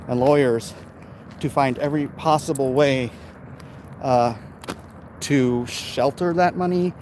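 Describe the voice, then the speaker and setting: American man, slightly high voice, casual opinion rant, colloquial, emphatic, outdoor recording with faint traffic noise. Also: darkish tone. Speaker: live-stream vlogger reading and answering viewer comments. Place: outdoors, in his backyard. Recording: noisy outdoor urban ambience, traffic sounds.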